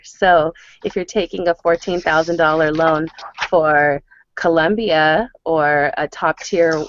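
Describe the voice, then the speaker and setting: A woman's voice: lowish voice, a little hiss or hum. A woman working as a college advisor, explaining and answering questions on a conference call. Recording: dull lo-fi conference call line.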